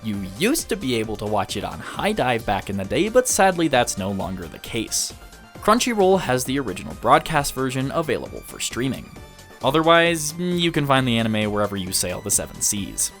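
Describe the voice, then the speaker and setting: A man's voice: medium pitch, very animated, normal speed, fairly bright tone, soft background music. A man presenting a video essay as the host, speaking to viewers in a review style. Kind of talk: scripted review narration with occasional casual asides.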